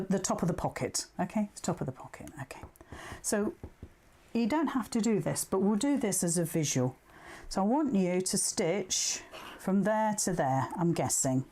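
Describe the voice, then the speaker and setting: A woman's voice: mid-range voice, warm tone. Woman chattily hosting a live sewing demonstration. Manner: self-deprecating, chuckly banter with viewers; talks aloud through each step, checking with okay. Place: indoors at a sewing machine.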